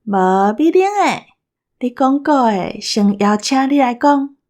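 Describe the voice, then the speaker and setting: Female co-host, Taiwanese accent, higher-pitched voice, bossy teasing banter. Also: slow, a little mellow. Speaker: co-host of a radio drama cast special. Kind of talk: casual hosting banter.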